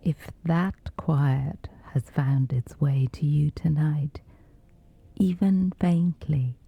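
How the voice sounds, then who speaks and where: Very slow, whispery woman guiding sleep meditation. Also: sits low, no room sound, narrow, tinny audio. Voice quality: breathy, whispery. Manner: gentle, soothing, unhurried. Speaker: meditation guide.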